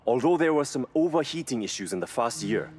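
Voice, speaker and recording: A man's voice: medium pitch, expressive, a little fast, a little mellow, husky. A man playing an earnest, knowledgeable car mechanic in video game cutscenes, explaining things with reverence for engines. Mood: earnest, admiring.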